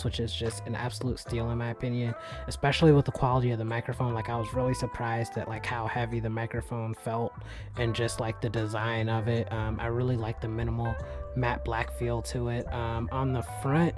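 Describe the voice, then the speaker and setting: Soft-voiced man, flat relaxed delivery. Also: mid-range voice. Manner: relaxed, chatty, many fillers. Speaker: tech reviewer, channel host. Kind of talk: casual product review vlog. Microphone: USB desk microphone.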